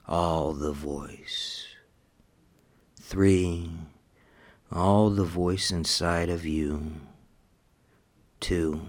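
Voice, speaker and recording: A man leading a hypnotic countdown in a steady, measured voice, slipping into soft whispers. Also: low voice.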